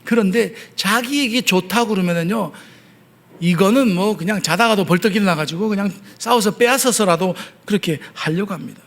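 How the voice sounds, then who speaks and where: High-voiced Gyeongsang-accented pastor preaching. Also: male voice, a little fast, vocal fry. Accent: Gyeongsang Korean. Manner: earnest preaching with frequent hesitation fillers. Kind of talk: sermon. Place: church sanctuary.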